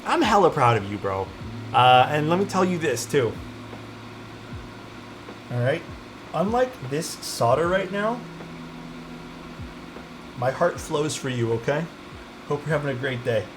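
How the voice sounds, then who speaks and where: A man's voice: a little high, sing-song, unhurried, long pauses, noisy background. A young man with a Toronto Canadian accent, chatting casually with his livestream audience while soldering a keyboard. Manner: easygoing, chatty, answers chat while soldering.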